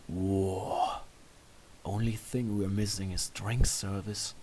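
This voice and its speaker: A man's voice: lowish voice, flat delivery, takes it slowly, darkish tone, a little hiss or hum, voice pushed down. A man voicing an eager, smitten pony in an earnest, flirtatious way for a fan fiction story. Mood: amazed. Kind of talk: amateur fan fiction character reading.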